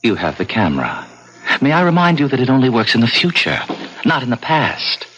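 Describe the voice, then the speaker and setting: A worldly, insinuating male spirit from a seventies radio play. His husky, mid-pitched voice glides widely up and down. He reasons and coaxes with patient, sly persuasion. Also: very animated, brisk, a bit bright, lo-fi sound. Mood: sly, coaxing. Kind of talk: 1970s radio drama acting. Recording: noisy old 1970s radio recording.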